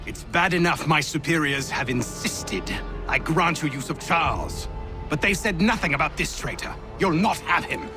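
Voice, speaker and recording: A man's voice: fairly high pitch, animated, moderate pace, lightly acted. A man voicing an arrogant, imperious army general in a game cutscene, over a music score. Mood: scornful. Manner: imperious, scornful, given to angry outbursts. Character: arrogant stern British general, middle-aged. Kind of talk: video game cutscene acting.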